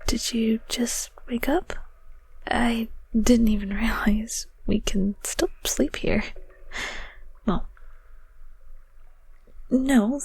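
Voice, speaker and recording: A very breathy, half-whispered female shade acted out by a woman speaks softly and slowly, close and dry. She is a friendly, bashful ghost from an ASMR roleplay, stammering and hesitating in a gently awkward way. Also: medium pitch, no echo, heavily acted, low-quality recording. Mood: sheepish, friendly. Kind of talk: intimate ASMR audio roleplay.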